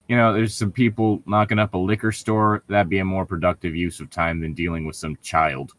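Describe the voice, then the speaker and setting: A man's voice: deep voice, steady tone, normal speed, no room sound. A man reading a story aloud as a co-host, breaking off for sarcastic, irritable, profane commentary and casual banter. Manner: sardonic, irritable, profane heckling.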